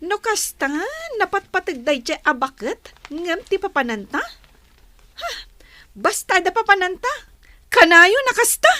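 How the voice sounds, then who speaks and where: A woman's voice: very high-pitched, sing-song, measured pace, pushed and bright, dry recording, full character voice. A woman playing a vain, selfish young schemer with a haughty, whiny manner in an amateur serial drama. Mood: irritated, scornful.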